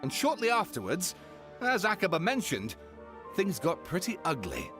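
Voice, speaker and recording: An eager writer spins his tale with grand flourishes for a video game. His voice rides high and swings widely in pitch. Soft game music hums underneath. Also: wildly swooping pitch, normal speed, full character voice. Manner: dramatic, showmanlike. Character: eager, theatrical male writer. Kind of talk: game voice acting.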